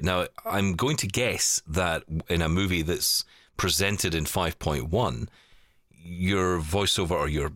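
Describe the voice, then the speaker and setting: A man's voice: sits low, a bit bright, no room sound, clean studio sound. A man hosting a casual podcast chat, steering the talk with questions. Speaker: podcast host.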